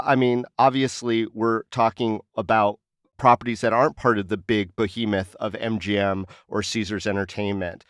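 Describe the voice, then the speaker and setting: A man's voice: fairly low pitch, smooth, no room sound, studio quality. A man co-hosting a casual panel discussion, speaking in an opinionated way and posing rhetorical questions. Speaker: podcast co-host.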